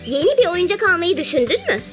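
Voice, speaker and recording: Very high-pitched cheerful little ladybug. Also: big pitch swings, fast. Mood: encouraging. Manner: encouraging, gently questioning, sings at times. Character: cheerful, caring little female ladybug in a children's tale. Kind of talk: children's cartoon storytelling. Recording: music behind the voice.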